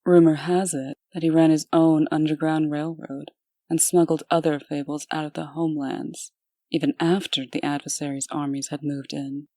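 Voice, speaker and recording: A businesslike comic-dub official acted by a woman, proper and composed. Her voice sits very low for a woman and carries polite authority. The sound is close and dry. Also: little pitch movement, moderate pace, dark tone, no echo. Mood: matter-of-fact.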